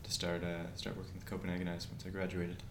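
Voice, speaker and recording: Fast-talking deep Canadian man, echoey room. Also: monotone. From Toronto, Canada. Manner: quick, agreeable, explanatory. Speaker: guest, urban planner.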